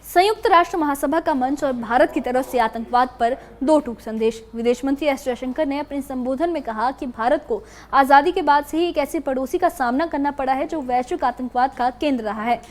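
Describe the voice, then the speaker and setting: High-pitched woman news narrator. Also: a little fast, few pauses. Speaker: news reporter. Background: background music bed.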